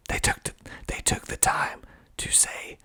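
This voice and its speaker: A man speaking in a breathy, husky ASMR whisper, reading aloud and adding deliberate, dry asides. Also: pushed and bright. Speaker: filmmaker hosting his own video.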